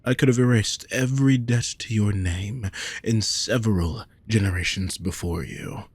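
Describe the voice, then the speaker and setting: A man playing a possessive, lovesick wealthy nobleman in a romantic audio roleplay, speaking formally and deliberately to the listener. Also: fairly low pitch, breathy edge. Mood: smug, reproachful. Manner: formal, measured, deliberate phrasing.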